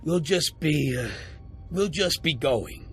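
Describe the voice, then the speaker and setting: A man voicing a husky convict thug in a game cutscene. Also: on the high side, lively intonation, slow pace, soft background music. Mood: cowed, nervous. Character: swaggering convict thug who cowers before power.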